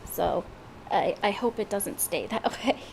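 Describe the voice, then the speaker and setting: A woman's voice: medium pitch, expressive, measured pace, gravelly, slight background noise, low-quality recording. A woman with a head cold chatting before a gaming session, hesitant and full of fillers. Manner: hesitant, many fillers and restarts. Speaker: gaming streamer. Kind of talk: casual chat before a gameplay session.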